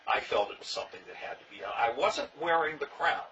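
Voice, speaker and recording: Wry older man with a bright tone. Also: a little high, very animated, fast talker, far from the mic. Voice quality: loud voice. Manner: wry, dry humor, plainspoken. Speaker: interviewee, former king of a historical reenactment society. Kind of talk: casual storytelling interview. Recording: dull sound, faint noise, from off mic.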